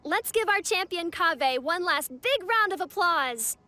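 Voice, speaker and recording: A woman's voice: very high-pitched, animated, a little slow, sharp tone, full character voice. A woman playing a polite, official ceremony host in a video game, speaking with courteous formality.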